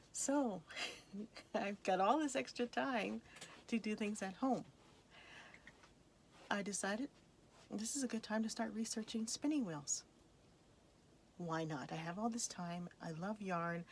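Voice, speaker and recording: A woman's voice: fairly low pitch, slight background noise. A woman in her thirties, born in Boston and raised in Texas, hosting a casual personal vlog in a chatty, upbeat way with frequent laughs. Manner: chatty, upbeat, laughs often between lines. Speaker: vlog host, crafter.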